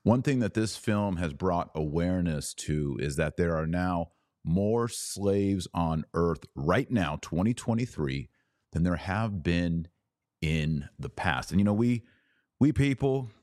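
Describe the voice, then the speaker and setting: Male podcast host, very low voice, dry close sound, earnest solo reviewer who stresses key words. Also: a little mellow, no room sound. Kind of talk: solo film review monologue.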